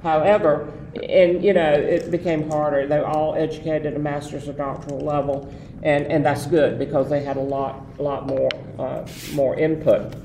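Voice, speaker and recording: A man's voice: on the high side, flat delivery, normal speed, gravelly, mellow. An older man with a Southern American accent, reminiscing as an interview guest and rambling with many fillers. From Southern United States. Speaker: guest, former association president. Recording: roomy, distant mic, faint noise.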